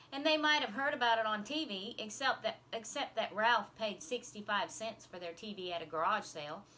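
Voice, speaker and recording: A woman's voice: medium pitch, sharp tone, a little hiss or hum, low-quality recording. A woman reading a children's novel aloud as scripted first-person narration. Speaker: audiobook reader.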